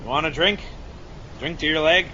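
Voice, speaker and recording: A man playing a gruff, boastful old shark hunter and war survivor in an amateur audio drama, telling salty, rambling stories. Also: a little high, moderate pace. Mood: boastful, boozy. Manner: rambling salty storyteller, addressing listener as Chief. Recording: dull lo-fi audio with loud background noise.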